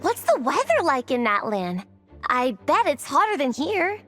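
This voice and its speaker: A gentle, shy young girl in an anime game. Her high voice is soft and hesitant, rising and dipping wistfully over light music. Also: sing-song, unhurried, heavily acted.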